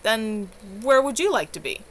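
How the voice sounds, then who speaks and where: A woman's voice: on the high side, big pitch swings, normal speed, a little hiss or hum. A woman voicing a weary, exasperated young coven leader in a novel reading, emphatic and sarcastic.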